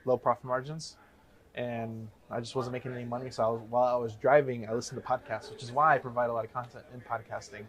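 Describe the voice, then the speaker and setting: Casting: man in his thirties from the Chicago area, quick rambling storyteller, mid-pitched. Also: flat delivery. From Chicago area, USA. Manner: rambling, self-correcting storyteller. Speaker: guest, real estate investor. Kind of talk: casual interview talk.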